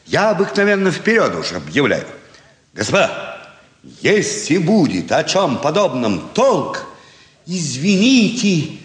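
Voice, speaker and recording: An actor playing an excitable, grandiloquent card sharp in a classic stage comedy, gushing and theatrical. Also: male voice, a little high, heavily acted. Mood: worked up, apologetic. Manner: theatrical, gushing, stammers as he works himself up. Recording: echoey reverberant stage recording with hiss.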